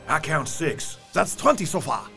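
An actor playing a grave, commanding older professor who leads vampire hunters in an audio drama, speaking solemnly in deliberate, formal phrases with a husky, gravelly voice. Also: male voice, on the high side, sing-song, pushed and bright, faint music behind, full character voice. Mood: tense, brisk.

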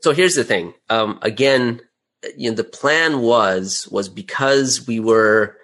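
A man's voice: mid-range voice, slow, fairly bright tone. A man speaking as an interview guest, a creator explaining his process step by step in a conversational way and posing rhetorical questions as he goes.

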